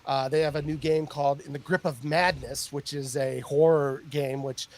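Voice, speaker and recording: A man's voice: fairly high pitch, fairly even intonation, moderate pace, a little mellow. A middle-aged man hosting a podcast in casual chat, rambling with many fillers and restarts and laughing often. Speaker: podcast host.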